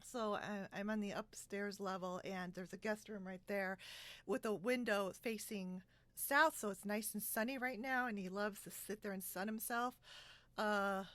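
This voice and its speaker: A woman's voice: medium pitch, steady tone, normal speed, a bit bright, no room sound. A woman hosting a casual podcast interview from a room at home, chatty and giggly, on a video call. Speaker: podcast host.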